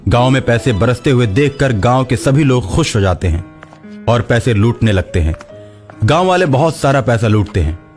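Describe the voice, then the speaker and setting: A man tells a scripted moral tale as its narrator. His mid-pitched voice moves up and down in a very lively way. Faint music runs behind the narration. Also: normal speed. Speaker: storyteller.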